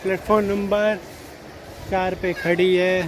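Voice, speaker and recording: A man narrates a casual travel vlog in halting, repetitive short phrases. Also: high-pitched, little pitch movement. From Uttar Pradesh, India. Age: 20s. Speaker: travel vlogger. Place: outdoor railway platforms and inside a train. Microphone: phone at arm's length. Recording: loud railway station and train noise.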